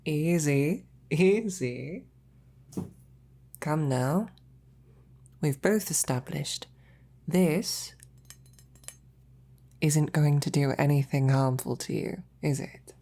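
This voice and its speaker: A woman playing a devoted, flirtatious female knight in an intimate roleplay, with a breathy, husky, often whispering voice and courtly phrasing. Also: sits low, extremely slow, long pauses, dark tone, heavily acted. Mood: reassuring, earnest. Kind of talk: intimate roleplay audio, ASMR.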